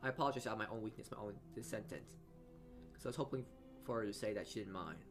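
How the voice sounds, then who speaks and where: A man's voice: fairly low pitch, faint music behind. A man reading a visual novel aloud as a let's-player, stumbling over lines and adding his own asides. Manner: halting reading with frequent stumbles. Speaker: video game let's-player.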